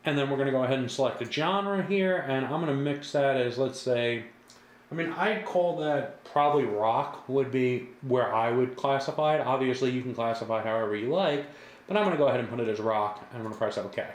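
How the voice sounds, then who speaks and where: A man giving a casual, reassuring step-by-step software tutorial as a product demonstrator. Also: medium pitch, a little fast. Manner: casual, repetitive, reassuring instructor. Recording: echoey room with faint background noise.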